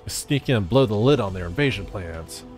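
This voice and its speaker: A man voicing an excitable, pompous tabloid reporter with a bombastic, dramatic delivery for a video game. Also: lowish voice, brisk, faint music behind, lightly acted. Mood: alarmed. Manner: bombastic, declamatory, stammering in alarm.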